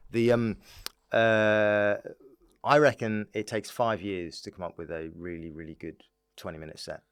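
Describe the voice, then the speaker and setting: Low-voiced male comedian in rambling podcast chat. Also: clear, resonant tone, no room sound, clean studio sound. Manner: animated, rambling with repeated false starts and rapid agreeing interjections. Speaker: stand-up comedian and club founder, podcast guest.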